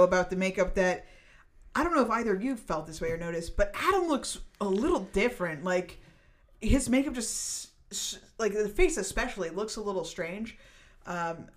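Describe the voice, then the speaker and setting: Chatty woman cohost, tells stuff all animated, swears a bit, middle pitch. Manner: animated storyteller, swears casually. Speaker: podcast co-host. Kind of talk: casual chat.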